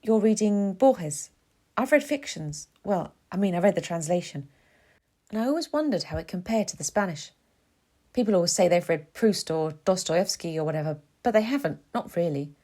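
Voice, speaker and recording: A woman's voice: fairly low pitch, fairly quick, dark tone. Woman voicing a nervous, rambling, bookish young man. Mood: eager, curious. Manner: rambling, sentences running into one another.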